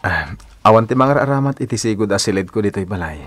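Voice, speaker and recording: A man's voice: lowish voice, lively intonation, fast, dry recording, studio quality. A man playing a patient, devoted young husband and son in a Filipino radio drama, earnest and placating, with an Ilocano accent.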